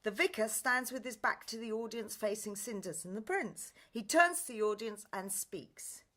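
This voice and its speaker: A woman's voice: on the high side, moderate pace, fairly bright tone. A woman reading scripted narration and stage directions for a pantomime radio play.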